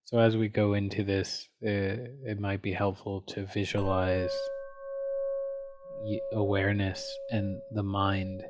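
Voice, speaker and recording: A man leading a guided meditation as a teacher, gently and unhurriedly, leaving frequent pauses between phrases. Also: on the low side, little pitch movement, faint music behind.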